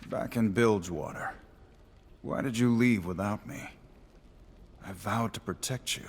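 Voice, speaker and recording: A man's voice: fairly low pitch, unhurried, slightly rough. A man playing a brooding, loyal swordsman in a fantasy game, with a dry, understated delivery. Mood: earnest, hurt. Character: brooding, loyal wandering swordsman, dryly wry. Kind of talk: cinematic fantasy game acting.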